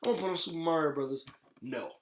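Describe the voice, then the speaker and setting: A man's voice: fairly high pitch, rapid-fire, a little hiss or hum. A man talking casually and informally as a podcast host, giving blunt opinions with some swearing. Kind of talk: casual chat about movies. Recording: roomy, echoey sound.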